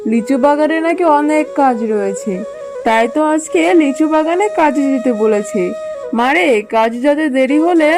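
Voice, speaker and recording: A high, meek mother jackal from a children's cartoon, voiced by a woman. She is gentle and deferential, a poor maid who pleads and soothes. Music plays clearly behind the voice. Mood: worried, explaining.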